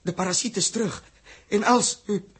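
An actor playing a guilt-ridden young spaceship crewman in a 1960s Dutch radio play, raspy and distressed. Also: high-pitched, a bit bright, exaggerated voice. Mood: distraught, guilty. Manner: hurried, distressed. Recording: 1960s radio drama recording.

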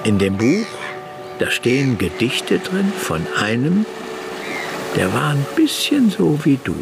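A man's voice: mid-range voice, huge pitch swings, slow pace, vocal fry, heavily acted. An actor playing a teasing, kindly man who talks coaxingly to a small boy in a radio drama. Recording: noisy outdoor-like ambience behind.

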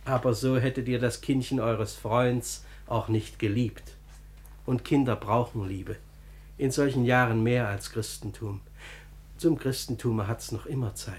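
An actor playing a simple, kindly, pious lay brother in a German classical radio drama, speaking gently and earnestly. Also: male voice, medium pitch, steady tone, unhurried, lightly acted. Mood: earnest, warm.

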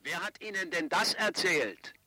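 An actor playing a mocking, excitable anarchist in a period radio drama, sardonic and animated. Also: male voice, high voice, measured pace, dry recording, heavily acted. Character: mocking, excitable anarchist comrade, 19th century. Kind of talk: 1970s German radio drama acting.

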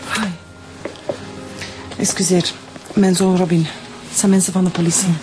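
A woman playing a distraught middle-aged mother in a naturalistic radio drama, halting and emotional. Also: on the low side, flat delivery, darkish tone, soft background music, close to an everyday voice. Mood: shaken, grieving. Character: distraught middle-aged mother, grieving but holding herself together.

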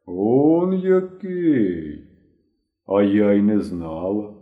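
A man's voice: lowish voice, expressive, fairly quick, phone-quality sound, natural voice. A man voicing a stern elderly working-class aunt in an audiobook, grumbling and reproachful, with emphatic delivery. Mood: slow reproach.

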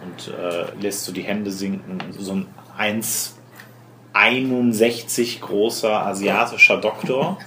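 Man game-mastering, halting improvised narration. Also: fairly low pitch, a bit bright, reverberant, slight background noise.

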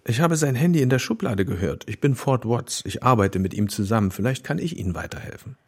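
Dry tactful detective in radio play, husky voice, measured but moves around a lot. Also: male voice, mid-range voice, expressive. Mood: tactful, calm. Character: male police detective, dry, tactful, world-weary colleague. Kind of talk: German audio drama acting.

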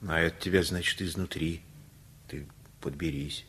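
An actor playing an easygoing older working man with a husky voice and folksy, unhurried speech in a radio play. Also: rumbling low, fairly even intonation, sharp tone, full character voice. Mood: gruff, fatherly.

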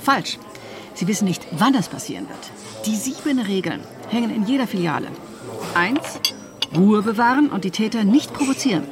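A woman's voice: medium pitch, big pitch swings, normal speed, natural voice. A woman playing a shrewd, educated ex-bank robber turned consultant in a radio drama, explaining things in a matter-of-fact, instructive way. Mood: instructive, assured. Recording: loud music bed underneath.

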